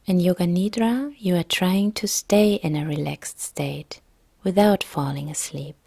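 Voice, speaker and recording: A woman yoga nidra instructor narrating a guided relaxation meditation in a calm, soft, gentle, breathy way. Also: lowish voice, a little slow, no echo, studio quality.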